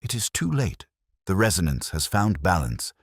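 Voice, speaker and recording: Guy doing a hollow old inventor in an audiobook, really low, ominous, swinging intonation. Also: sing-song, normal speed, husky, dry recording, clean studio sound, heavily acted. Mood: fatalistic, weary. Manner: grave, ominous, formal. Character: weary, hollow, fatalistic old male inventor.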